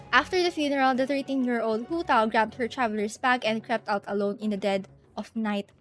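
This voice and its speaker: A woman hosting a livestream, talking informally and thinking aloud, moving between casual chat and reading stories aloud. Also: on the high side. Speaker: livestream host. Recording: music behind the voice. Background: music.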